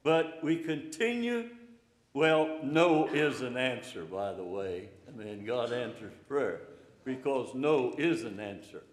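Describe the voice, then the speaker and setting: An older man preaching an informal, encouraging sermon in a slow, plainspoken way. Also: mid-range voice, very animated. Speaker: pastor. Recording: echoey room, hall reverb.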